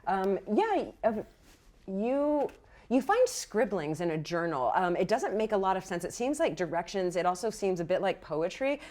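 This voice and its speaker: A woman running a tabletop game as game master, improvising the narration in a halting, thinking-aloud way. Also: mid-range voice, very animated, a little mellow.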